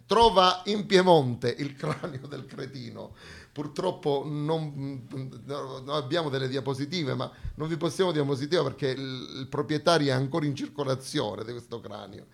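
A man's voice: medium pitch, moderate pace, gravelly. A man performs satirical theatre. He delivers a mock lecture, breaking off for ironic asides to the audience. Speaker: stage performer, satirical monologist.

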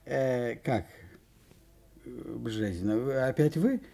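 An actor playing a polite, flustered and bewildered butcher in a fairy-tale audio play. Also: male voice, mid-range voice, slow pace, creaky, mellow. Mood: baffled. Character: polite, flustered, baffled sausage-shop owner.

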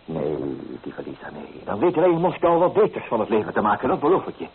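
A man's voice: medium pitch, huge pitch swings, fast, husky, full character voice. Tinny radio-play recording, sardonic flirtatious man. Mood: passionate. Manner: teasing, theatrical, persuasive.

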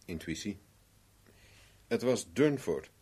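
An actor with a Netherlands Dutch accent playing a shrewd, self-assured private investigator in a 1970s radio play, measured, persuasive and knowing. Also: male voice, fairly low pitch, animated, dark tone, breathy edge, full character voice. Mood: knowing, insistent.

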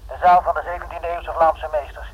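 Through a muffled telephone line in a radio play comes a shady informant. He is husky and fairly high for a man. He talks in a curt, deliberate, demanding way. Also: fairly even intonation. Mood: curt, menacing. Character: shady, demanding male informant selling secrets by phone. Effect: telephone filter. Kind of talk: radio drama acting. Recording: muffled tinny phone line.

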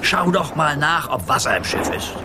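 A man's voice: fairly high pitch, sing-song, moderate pace, heavy background noise. An older man playing a good-natured grandfather who acts as sea captain in a children's radio play, giving theatrical nautical orders. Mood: commanding, concerned. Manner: theatrical nautical commands, indulgent. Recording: clear boat and water sounds behind.

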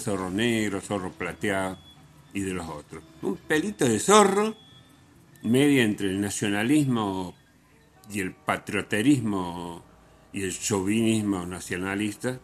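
A man's voice: lowish voice, lively intonation, measured pace. A man with a Buenos Aires accent giving a casual, rambling, sardonic radio monologue as host. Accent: Buenos Aires Argentine Spanish. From Argentina.

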